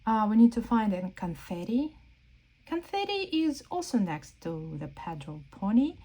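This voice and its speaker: Children's storyteller: woman, mellow voice, very animated pitch, guiding small listeners through a picture book. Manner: exaggerated wonder, slow questions to young listeners. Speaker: children's read-aloud storyteller. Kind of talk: interactive read-aloud for young children.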